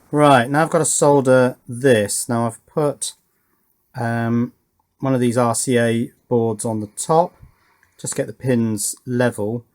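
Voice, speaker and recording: A man's voice: medium pitch, darkish tone. A man giving casual, understated hands-on commentary as he works on a hobby electronics build, often thinking aloud and hesitating. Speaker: hobby electronics video maker.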